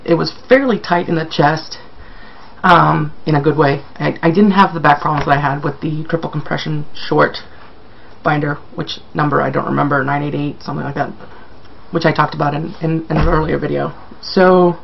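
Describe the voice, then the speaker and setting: Croaky, gravelly man, sick and chatting casually. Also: on the high side, muffled recording, a little hiss or hum. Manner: rambling, self-deprecating. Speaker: vlogger. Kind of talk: casual video update to viewers.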